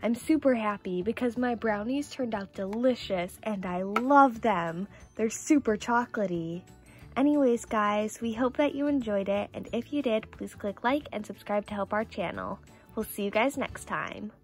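A woman's voice: medium pitch, normal speed, soft background music, lightly acted. A woman voicing a cheerful doll girl in a scripted toy play video, sweet, enthusiastic and instructional. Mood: delighted. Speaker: toy channel host.

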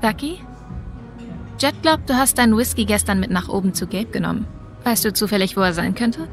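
A woman's voice: medium pitch, brisk, soft background music, lightly acted. A young woman playing a friendly, light-hearted bar worker in a video game, in natural acting. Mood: curious.

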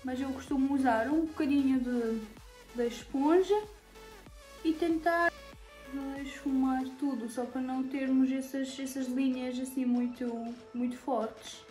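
A young woman chats through a makeup tutorial, her voice bright and high. She speaks to viewers casually, with plenty of fillers and restarts. The room rings with echo, and faint music sits behind her. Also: steady tone, normal speed. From Serbia. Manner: chatty, many fillers, speaks to viewers directly. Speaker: beauty vlogger. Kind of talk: makeup tutorial talk to camera. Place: small indoor room with shelves.

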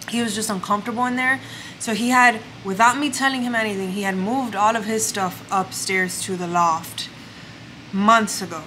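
A woman in her twenties talks casually to the camera in her bedroom, her voice a little stuffy and congested from the flu. She is chatty and storytelling, with plenty of fillers, at a middle pitch for a woman. A steady background noise sits under the home-video recording. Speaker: lifestyle vlogger. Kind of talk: casual vlog chat to camera.

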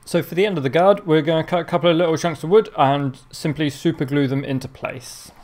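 A man explaining a woodworking job step by step in a relaxed, practical way while he works in his home workshop. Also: a little high, fast talker. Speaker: DIY workshop host. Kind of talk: casual how-to narration while working. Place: cluttered home workshop, indoors.

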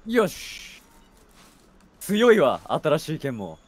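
Lively man with blunt, slangy reactions. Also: fairly high pitch, drawn-out words. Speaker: game streamer, host. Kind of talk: casual gaming chat.

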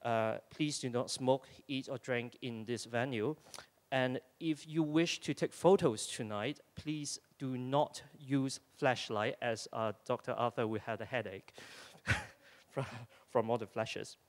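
A man's voice: mid-range voice, fairly even intonation, slow. A man acting as emcee, giving polite formal housekeeping announcements in a lecture theatre. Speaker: event emcee. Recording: reverberant hall.

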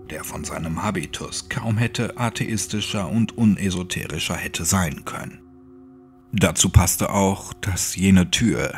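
A man with a low voice narrates a horror story in pronunciation. He reads it as a scripted audiobook, with faint music underneath.

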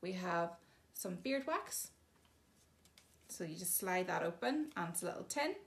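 A woman's voice: lowish voice, lively intonation, measured pace, a bit bright. A woman giving a chatty, casual demonstration of bathroom products, explaining each one plainly with frequent ums. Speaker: shop presenter showing products.

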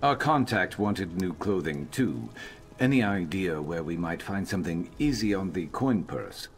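A man's voice: sits low, lively intonation, measured pace, soft background music. A man voicing a guarded reptilian outlaw in a fantasy video game, curt, dry and dismissive.

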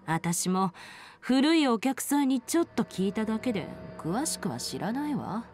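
An actress playing a proud, casual, teasing big sister who tends a bar, in a video game drama. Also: female voice, on the high side, sing-song, normal speed, a little mellow, faint music behind. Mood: guarded.

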